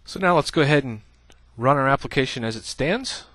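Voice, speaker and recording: A man's voice: mid-range voice, lively intonation, brisk, fairly bright tone, no room sound. A man giving a casual screencast coding tutorial, thinking aloud as he types, with many "uh" fillers. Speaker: programming instructor.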